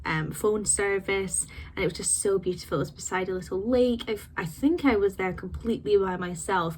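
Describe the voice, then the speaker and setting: A woman's voice: fairly low pitch, slight background noise. A young woman hosting her own podcast, chatting in a rambling, unscripted way with frequent laughs, a bit sniffly from a cold. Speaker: podcast host. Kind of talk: casual unscripted chat.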